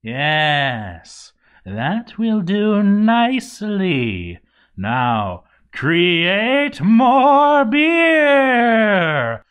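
A man's voice: sits high, big pitch swings, extremely slow, a bit bright, pitched above the performer's own voice, full character voice. A man voicing a grouchy, boozy zombie dwarf overseer in a game journal story, stammering and exasperated. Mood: hammy, demanding. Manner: stammering, exasperated, hammy delivery. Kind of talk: dramatic reading of a game journal.

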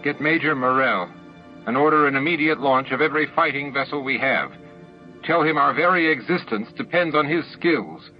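A man's voice: medium pitch, moderate pace, dull audio, faint music behind, voice pushed up, natural voice. A man voicing a panicked leader of a threatened world in a sci-fi audiobook, urgent and snapping. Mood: panicked urgency.

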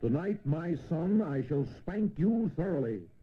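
A man's voice: fairly high pitch, very animated, unhurried, narrow, tinny audio, slight background noise. A man playing a stern, admonishing farmer father in a children's audio story. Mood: stern threat.

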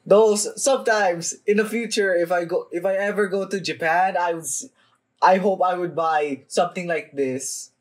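A young man giving casual, off-the-cuff reaction commentary, halting and sweary, often repeating his phrases. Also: high-pitched, fairly even intonation. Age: young adult. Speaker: reaction video host. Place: small room indoors at home.

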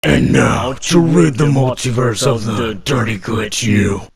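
A man's voice: medium pitch, expressive, measured pace, gravelly, a loud crowd. A man voicing a grim, irritable villain in a cartoon comic dub. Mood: menacing.

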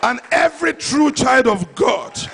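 A man's voice: extremely high pitch, expressive, unhurried, gravelly, over music. A middle-aged man with a Nigerian accent preaching an impassioned, emphatic sermon into a handheld microphone, repeating phrases for effect. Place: large church hall before a congregation. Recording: live church PA with faint crowd.

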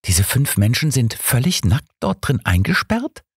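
A man's voice: mid-range voice, huge pitch swings, a little slow, husky, dry recording, clean studio sound, pitched above the performer's own voice, exaggerated voice. A man voicing an earnest, concerned woman who helps investigate, in a mystery audiobook. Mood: shocked, concerned. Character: concerned, quick-witted woman helping an investigation.

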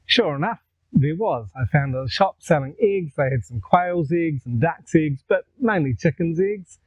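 A man's voice: a little high, measured pace, breathy edge, no room sound. Older Kiwi man, tinny dry sound. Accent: New Zealand. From New Zealand. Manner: relaxed, conversational, gestures while talking. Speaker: vlogger. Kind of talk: casual storytelling to camera. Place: outdoors in a garden. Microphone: lapel mic.

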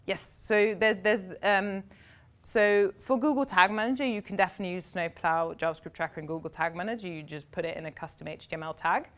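A young woman speaking at a meetup, answering audience questions in fluent, quick explanations sprinkled with "um" and "like". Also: mid-range voice. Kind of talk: live Q&A at a tech meetup. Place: meeting room with seated audience, indoors.

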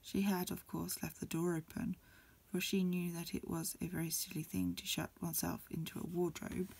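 A woman reading a storybook aloud as ASMR in a breathy, mostly whispered voice, a little hesitant, with small stumbles. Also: lowish voice, flat delivery, moderate pace, a little mellow, a little hiss or hum. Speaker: amateur reader. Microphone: close recording, lo-fi home setup.

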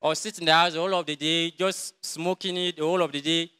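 A young man with a Cameroonian English accent speaks in a quiet, halting way, the voice bright, crisp and rather high for a man. He is in a large crowded church, close to a handheld mic. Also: steady tone. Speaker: church member giving testimony. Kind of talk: testimony in church. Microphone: handheld mic held by another person.

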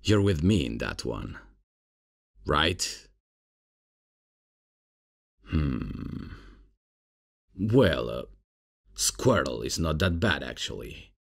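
A man playing a laid-back, bumbling substitute professor in a comedic ASMR roleplay, casual and hesitant, with a deep, gravelly, husky voice. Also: big pitch swings, drawn-out words, long pauses, heavily acted. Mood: sheepish, amused. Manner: casual, hesitant, chuckling, unprofessional. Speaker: roleplay audio performer.

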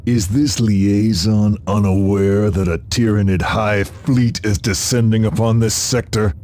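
An actor playing a stern, scornful, battle-hardened space marine sergeant in a video game, with forceful phrasing. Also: male voice, lowish voice, fairly even intonation, a little slow, a little hiss or hum, close to an everyday voice. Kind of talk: scripted video game dialogue.

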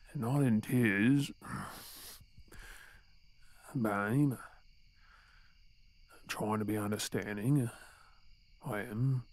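A man's voice: mid-range voice, a little mellow, no room sound. A man with an Australian accent playing an exasperated but tender boyfriend in an intimate, conversational audio roleplay. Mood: soothing, tender.

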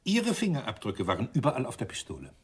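A man's voice: mid-range voice, wildly swooping pitch, fast talker, husky. A male actor playing a dry, sardonic police inspector in a German radio crime play, ironically polite. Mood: firm, ironic.

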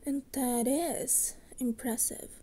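A woman playing a hesitant, wry resurrected witch in an ASMR roleplay, with a soft, breathy voice. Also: sits high, steady tone, slow. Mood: gentle, curious.

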